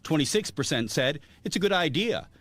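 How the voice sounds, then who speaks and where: A man reporting local news in an even, formal scripted delivery. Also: a little high, brisk, no echo.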